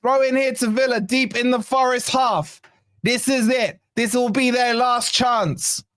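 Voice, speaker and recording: Hoarse male fan host, high-pitched, excitable partisan live commentary, dry close mic. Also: unhurried, no echo. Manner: excitable, swearing, partisan play-by-play. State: hoarse, losing his voice. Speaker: fan channel watch-along host.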